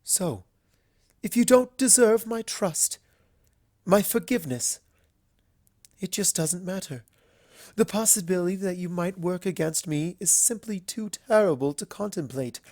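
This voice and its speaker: A narrator voicing a refined, earnest female unicorn pony with formal, measured, deliberate speech in a dramatic story reading. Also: mid-range voice, animated, a little mellow, dry recording, clean studio sound. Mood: grave, composed. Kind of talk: dramatic fan fiction reading.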